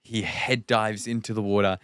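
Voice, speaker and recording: A man's voice: fairly low pitch, little pitch movement, moderate pace, a bit bright, smooth, no room sound, studio quality. An Australian man co-hosting a podcast, bantering casually and playfully acting out what he describes. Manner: playful, acts out lines in put-on voices. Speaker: podcast co-host.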